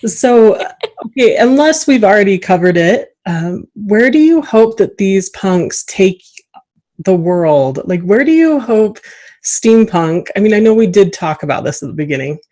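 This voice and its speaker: A woman's voice: mid-range voice, expressive, measured pace, dark tone. A woman hosting a podcast, interviewing casually in an agreeable, appreciative manner.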